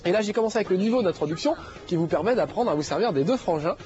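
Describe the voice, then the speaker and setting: A man's voice: high voice, lively intonation, racing, a little mellow, muffled recording, faint music behind. A man hosting a game show, talking casually over gameplay with a slight nasal head cold and lots of fillers. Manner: chatty, jokey, many fillers.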